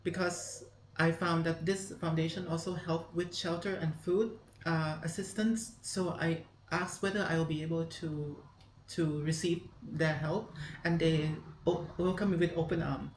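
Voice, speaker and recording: A woman from Bangkok with a Thai accent, answering interview questions politely and carefully, pausing to search for words in English. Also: low voice, fairly even intonation, a little mellow, reverberant, slight background noise, rough recording. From Thailand, Bangkok. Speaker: interviewee, charity centre helper.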